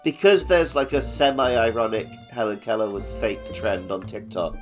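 A man's voice: on the low side, normal speed, soft background music. A man co-hosting a podcast in a casual, chatty style. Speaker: podcast co-host. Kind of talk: casual chat.

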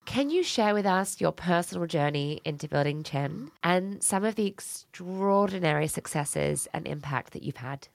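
A woman's voice: lowish voice, expressive, normal speed, creaky, few pauses, dry recording. A woman hosting a podcast, reading a scripted introduction and asking interview questions with enthusiasm. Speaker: podcast host.

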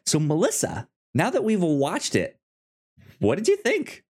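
A man hosting a casual review podcast, speaking in a halting way with stammered, repeated word starts. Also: high-pitched, huge pitch swings, darkish tone. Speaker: podcast host.

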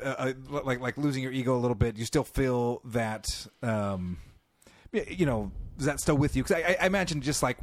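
A man's voice: medium pitch, no room sound. A man from California with a light Californian accent, a podcast host asking questions in a rambling, casual way. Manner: rambling, many fillers and restarts. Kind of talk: casual conversation.

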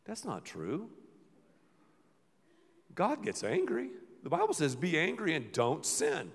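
High-pitched pastor guy giving a sermon, goes dramatic then quiet, big echoey church. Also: huge pitch swings, slow pace, vocal fry, breathy edge. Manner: playful, dramatic preaching with sudden drops to a low murmur. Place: large church hall. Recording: reverberant church hall.

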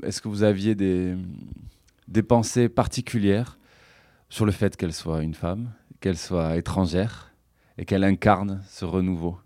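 A man interviewing a guest, asking long, measured questions. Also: deep voice, warm tone, no echo, studio quality.

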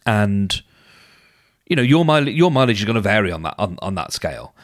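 Hoarse man chatting on a podcast. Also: on the low side, sing-song, a little fast, dry recording, studio quality. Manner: chatty, thinking aloud with frequent restarts. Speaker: podcast host. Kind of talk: casual solo podcast advice.

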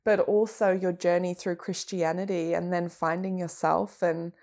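A woman's voice: fairly low pitch, level tone, normal speed, a little mellow. A woman hosting a podcast interview, enthusiastic and often laughing. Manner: enthusiastic, laughing, with upbeat fillers. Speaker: podcast host. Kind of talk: casual interview.

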